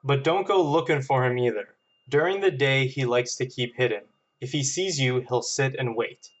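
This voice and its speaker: A man's voice: medium pitch, normal speed. A man reading listener stories aloud in casual banter, with a playful, amused storytelling manner. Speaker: podcast guest and editor.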